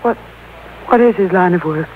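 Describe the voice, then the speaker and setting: An actress playing a frightened, glamorous movie star in an old-time radio drama, nervous and halting. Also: female voice, lowish voice, lightly acted. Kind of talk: 1940s-50s radio drama acting. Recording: tinny, noisy old radio broadcast.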